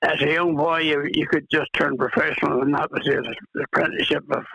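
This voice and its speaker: An older man from Ireland with a cold, reminiscing as an interview guest, halting with many restarts and fillers. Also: a little high, little pitch movement, gravelly, bright, forceful tone, breathy edge, no echo, rough recording. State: has a cold, clears throat. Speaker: retired golfer, guest.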